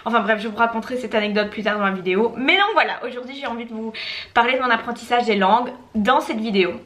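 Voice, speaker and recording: A woman's voice: on the high side, quick pace, a bit bright. A young woman vlogging about language learning, talking casually with frequent restarts and slipping into English mid-sentence. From Belgium. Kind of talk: casual talking-head vlog.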